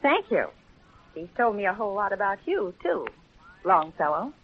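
A woman's voice: medium pitch, very animated, moderate pace, a little hiss or hum. An actress playing a sardonic, wisecracking woman friend in a 1930s radio comedy-drama, on a muffled old recording. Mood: flustered. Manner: sardonic, flippant. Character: wisecracking, cynical roommate of a newspaperwoman.

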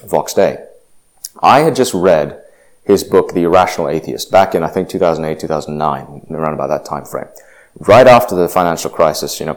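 Man with a really low voice telling his own story, lots of uh and um, thinking out loud. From grew up partly in Indonesia. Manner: reflective, hesitant with many fillers. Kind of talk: personal testimony monologue.

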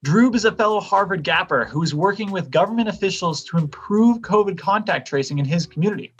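A man's voice: high-pitched, animated, fairly quick, slightly rough, dull audio, faint music behind. A young man co-hosting a podcast, with a friendly, upbeat delivery. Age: young adult. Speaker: podcast co-host.

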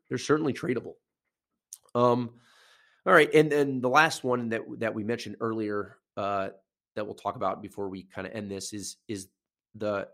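A man's voice: lowish voice, fairly bright tone. A man hosting a podcast alone, sounding nasal while getting over a sinus infection, talking casually with many fillers and restarts. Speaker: podcast host. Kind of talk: casual solo podcast talk.

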